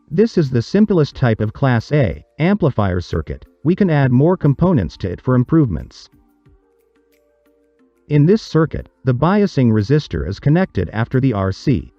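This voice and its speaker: Guy narrating an electronics tutorial, soft mellow voice but pitch moves around, explains it step by step. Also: dry recording, muffled recording.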